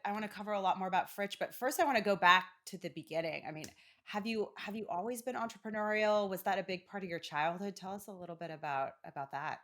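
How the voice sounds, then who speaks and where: A woman's voice: medium pitch, quick pace, fairly bright tone. A woman hosting an interview podcast, asking questions in a friendly, slightly hesitant way. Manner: friendly, hesitant, repeats words. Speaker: podcast host.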